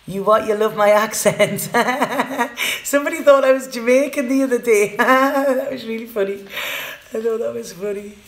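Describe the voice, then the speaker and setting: A woman's voice: fairly high pitch, normal speed. A woman doing a live tarot reading for viewers, chatting casually and often ending her lines with "okay?". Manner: chatty, tags sentences with "okay?", repeats words. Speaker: tarot reader. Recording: roomy echoey room.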